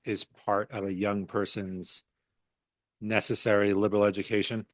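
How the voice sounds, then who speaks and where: Host: American man, low pitch, forceful tone, dry mic, inquisitive. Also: little pitch movement, normal speed, dry recording. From United States. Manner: asks short probing questions. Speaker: podcast host. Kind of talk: casual interview conversation.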